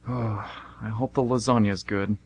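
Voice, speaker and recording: A man's voice: on the low side, fairly even intonation, unhurried, slight background noise, lightly acted. A man playing an easygoing, chatty, naive American tourist in an amateur radio play, talking informally. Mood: casual. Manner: informal, breezy.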